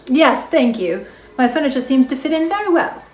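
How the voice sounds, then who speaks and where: A woman's voice: sits high, animated, normal speed, roomy sound. A woman playing a prim, reserved, genteel lady librarian in a dramatized novel, speaking politely and properly. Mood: polite, modest.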